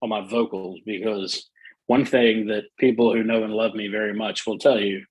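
Middle-aged male guest, soothing husky timbre, eager and chatty, dry close sound. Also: lowish voice, flat delivery, normal speed, no room sound, rough recording. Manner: enthusiastic, many fillers and restarts. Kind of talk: casual interview chat.

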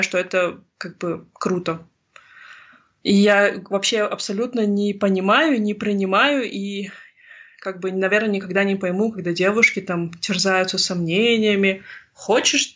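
A woman from Kazakhstan in her thirties talks through her thoughts in an interview, earnest and unhurried in her search for the right words. Her voice has a breathy, husky edge. The recording sounds a little muffled and lo-fi. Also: medium pitch, fairly bright tone. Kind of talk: reflective personal interview. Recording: slightly dull lo-fi recording.

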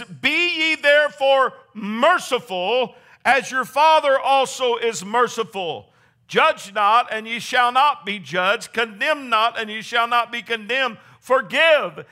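A male pastor preaching with fervent, rising exclamations and a strained voice. His voice sits high for a man and rings very bright and edgy. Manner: preaching with rising fervor, exclamations and call-and-response. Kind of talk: sermon.

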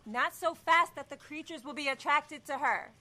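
A woman playing a capable, bossy leader of zombie survivors in an audio drama, brisk and commanding. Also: high voice, bright, forceful tone. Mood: urgent.

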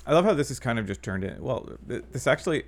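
A man's voice: medium pitch, very animated, quick pace. A man in his thirties chatting casually as a podcast co-host, rambling and hedging with fillers and restarts. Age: 30s. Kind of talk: casual chat.

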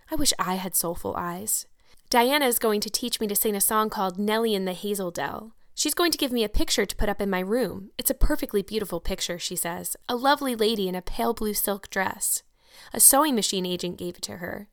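A woman's voice: mid-range voice, fairly bright tone, no echo, clean studio sound. A woman doing an effusive dreamy young girl. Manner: breathless, effusive, romantic. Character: chatty, dreamy, eager girl, about eleven.

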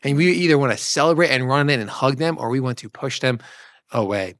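A man's voice: medium pitch, expressive, fairly quick, a bit bright, dry recording. Man co-hosting a discussion, dry close sound. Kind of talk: conversational discussion of a book.

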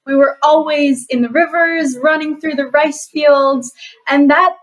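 A woman's voice: sits high, fairly even intonation, a little slow, low-quality recording. A young woman from Bali, an activist guest answering interview questions in an earnest, reflective way with frequent fillers. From Bali, Indonesia. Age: young adult.

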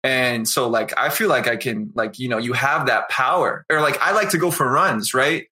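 Laid-back young singer. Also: male voice, mid-range voice, moderate pace, pushed and bright. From outside Boston, Massachusetts. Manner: laid-back, rambling, full of "you know" and "right?". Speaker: guest, singer. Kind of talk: casual interview chat.

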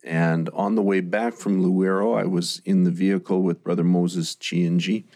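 Deep-voiced podcast host saying amen. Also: male voice, steady tone, moderate pace. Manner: warm, affirming, frequent amens. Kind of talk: interview on a mission trip.

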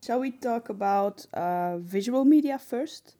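A woman's voice: a little high, lively intonation, measured pace, warm tone. A woman co-hosting a casual podcast, talking thoughtfully with some hesitant phrasing. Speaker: podcast co-host.

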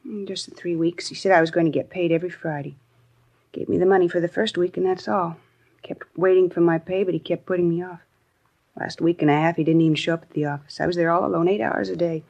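An actress playing a disgruntled young secretary in a 1950s radio crime drama, talking in casual, complaining, clipped phrases. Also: female voice, lowish voice, fairly even intonation, fast, vocal fry, darkish tone. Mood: aggrieved. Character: disgruntled young secretary, talkative, put-upon, street-wise.

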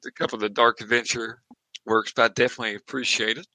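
A man's voice: medium pitch, little pitch movement, fast talker, sharp tone, no room sound, low-quality recording. A man hosting a podcast interview in a casual, conversational way.

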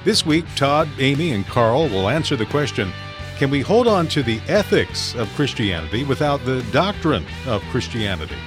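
A man's voice: medium pitch, very animated, moderate pace. A man reading a scripted podcast intro in a polished announcer manner. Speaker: show announcer. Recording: clear guitar music behind.

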